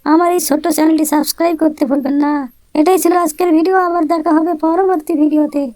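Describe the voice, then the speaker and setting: A woman's voice: high voice, fairly even intonation, fairly quick, mellow, dry recording, studio quality. A woman presenting a scripted video outro for a channel. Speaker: channel presenter giving sign-off.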